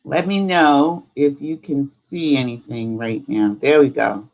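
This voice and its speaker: Slow-paced woman chatting on a livestream. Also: rumbling low, darkish tone. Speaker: host of a live spirituality stream. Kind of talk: casual livestream chat.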